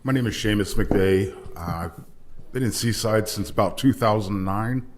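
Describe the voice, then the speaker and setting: A man's voice: on the low side, moderate pace, slight background noise. A man introducing himself as a candidate at a public forum, with a big, forthright voice. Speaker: city council candidate.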